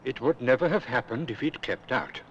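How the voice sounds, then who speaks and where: A man's voice: medium pitch, expressive, moderate pace, fairly bright tone, lo-fi sound, close to an everyday voice. A man voicing a wise, stern older cartoon owl who gives didactic safety warnings in a public information film. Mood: grave, advising. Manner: didactic, admonishing. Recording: faintly noisy old 1970s film soundtrack.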